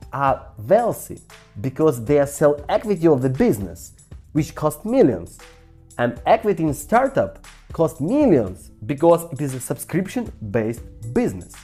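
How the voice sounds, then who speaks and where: A woman with a low voice and a Ukrainian accent presents a scripted business lesson. Her delivery swings widely in pitch as she stresses key points, while the tone stays dark and soft-edged. Faint music plays underneath. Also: slightly rough. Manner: emphatic, persuasive, addressing the viewer directly. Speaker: startup founder, business course presenter. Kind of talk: scripted master-class sales presentation.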